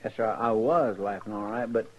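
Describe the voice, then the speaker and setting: An actor playing a naive, talkative deputy sidekick in a radio western, with a rural Western American accent and a hesitant folksy manner. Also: male voice, mid-range voice, brisk, a little mellow, close to an everyday voice. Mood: puzzled. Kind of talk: old-time radio western acting. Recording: noisy, muffled old radio recording.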